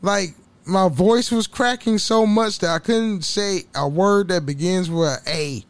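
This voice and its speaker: A man hosting a casual solo podcast, rambling and swearing with frequent laughs, his voice a little hoarse. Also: high voice, unhurried, dry recording. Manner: rambling, profane, laughs often. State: slightly hoarse from waking up. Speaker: podcast host.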